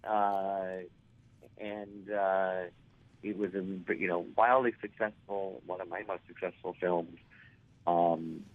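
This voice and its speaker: A man in his forties with a raspy, hoarse voice, telling stories as an interview guest and rambling with many fillers and restarts. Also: sits low, flat delivery, slow, no room sound. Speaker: actor guest. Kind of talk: casual interview storytelling. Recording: tinny phone line.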